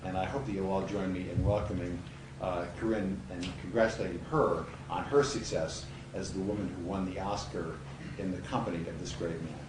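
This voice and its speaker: A man's voice: low-pitched, a little fast. A man hosting a screening event, giving an introduction speech with emphatic repetition. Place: event hall before an audience. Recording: roomy, noisy hall, far from mic.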